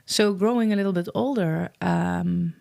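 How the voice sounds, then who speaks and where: A woman's voice: lowish voice, normal speed, vocal fry, darkish tone. A middle-aged woman with a Dutch accent, openly and reflectively telling her life story as an interview guest. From Netherlands. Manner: open, reflective storytelling with fillers. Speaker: guest, founder of a travel business. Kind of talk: candid interview conversation.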